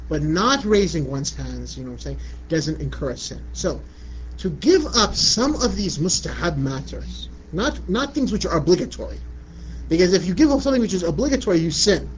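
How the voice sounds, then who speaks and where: A man's voice: on the high side, very animated, fairly quick. A middle-aged man from Iraq giving a religious lecture in a conversational way, often asking "you know what I'm saying". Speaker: Islamic lecturer. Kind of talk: religious lecture answering a question. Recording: muffled lo-fi recording with light background noise.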